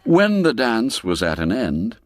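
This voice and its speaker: A man narrating a classic literary tale as a storyteller, theatrical and expressive, savoring each phrase with deliberate care. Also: on the low side, over music.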